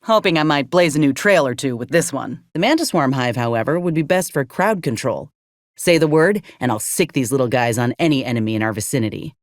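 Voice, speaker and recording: A woman's voice: a bass voice, expressive, fairly quick, no echo. An actress playing a plainspoken, stubborn woman soldier in a video game, with folksy, dry, blunt phrasing. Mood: sincere, calm. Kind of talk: video game character dialogue.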